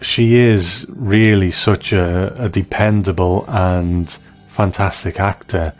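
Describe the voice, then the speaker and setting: A man giving conversational review commentary, reflective and measured, as narrator. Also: low voice, steady tone, soft background music.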